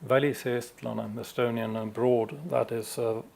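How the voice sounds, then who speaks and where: A man from Sweden answering audience questions at a conference, slow and hesitant with many fillers. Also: mid-range voice, flat delivery, gravelly, a little mellow. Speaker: conference speaker.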